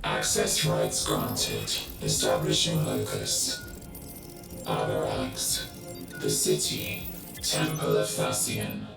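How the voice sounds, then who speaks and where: A man voicing an ancient, emotionless machine spirit in a video game, speaking slow, flat, clipped commands in a gravelly voice. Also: heavily acted. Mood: cold, impassive. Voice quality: gravelly, very deep. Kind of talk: video game cutscene acting. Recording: loud music behind the voice.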